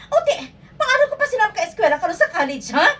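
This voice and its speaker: A woman's voice: extremely high pitch, very animated, fast talker, a bit bright, heavily acted. A woman playing a stern, upset mother questioning her child in an amateur radio drama. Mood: upset, scolding. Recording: distant mic with faint background noise.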